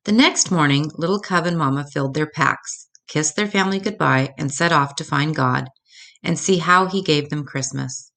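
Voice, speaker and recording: An older woman with a low-pitched voice reads a children's story aloud. She narrates as a storyteller would for young listeners. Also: normal speed, creaky, pushed and bright.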